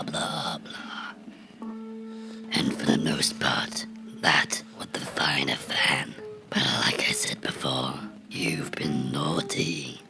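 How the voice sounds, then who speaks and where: A man playing a vengeful cartoon gnome come to life, menacing and mocking in a breathy, gravelly whisper for a horror ASMR role-play. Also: rumbling low, exaggerated voice. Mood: scolding menace. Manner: slow, deliberate, sinisterly playful, taunting.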